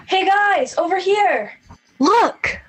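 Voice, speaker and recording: A woman's voice: very high-pitched, animated, slow pace, exaggerated voice. A young woman playing an earnest, slightly exasperated young adult searching for a lost niece in an amateur radio play. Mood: excited.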